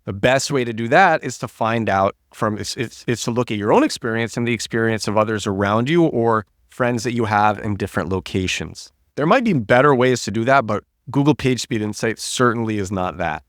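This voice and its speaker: A man hosting a solo podcast while getting over a cold, talking low and smooth, animated, often repeating and restarting words. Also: brisk, few pauses, no echo, studio quality. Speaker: podcast host.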